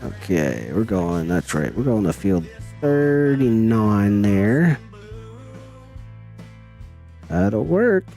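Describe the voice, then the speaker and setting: A man's voice: lowish voice, very animated, very slow, long pauses, dark tone, soft background music. A middle-aged man chatting casually with viewers as he streams a game, folksy and teasing. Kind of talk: casual live-stream chat while gaming.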